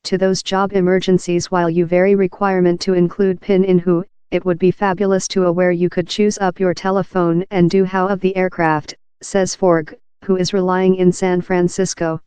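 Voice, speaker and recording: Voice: medium pitch, level tone, normal speed, few pauses, darkish tone, clear, resonant tone, no echo. A narrator reading a scripted article aloud in an impersonal, uninflected way.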